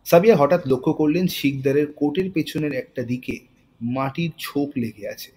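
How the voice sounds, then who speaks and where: A man's voice: on the high side, moderate pace, dark tone. A man narrating a scripted thriller story in a dramatic storytelling style. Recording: faint noise and ambience behind voice.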